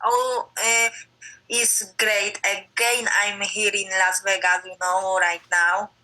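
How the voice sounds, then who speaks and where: A woman from Poland with a Polish accent, answering interview questions in simple, halting English with frequent fillers. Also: mid-range voice, little pitch movement, bright, forceful tone, low-quality recording. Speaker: MMA fighter.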